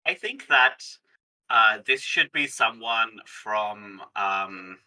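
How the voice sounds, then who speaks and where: A man's voice: fairly low pitch, expressive, takes it slowly, pushed and bright, rough recording. A man playing a tabletop game, chatting casually and thinking aloud as he builds the story.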